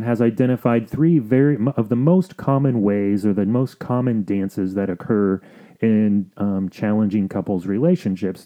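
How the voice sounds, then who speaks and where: A man hosting an advice podcast, explaining things in a measured, sometimes halting way. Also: on the low side, mellow. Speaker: podcast host.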